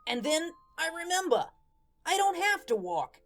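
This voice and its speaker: A woman's voice: very high-pitched, very animated, normal speed, background noise, heavily acted. A woman playing a wistful, lovesick little male ghost who narrates a radio drama in folksy, rambling slang. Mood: resigned, earnest.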